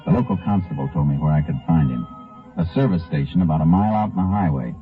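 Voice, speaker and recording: Very deep, terse Texas Ranger. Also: male voice, animated, fast. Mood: grave narration. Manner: terse, commanding, clipped questioning. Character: tough, terse Texas Ranger investigating a killing. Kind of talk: 1950s radio drama acting and first-person narration. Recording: muffled vintage 1950 radio recording, music behind.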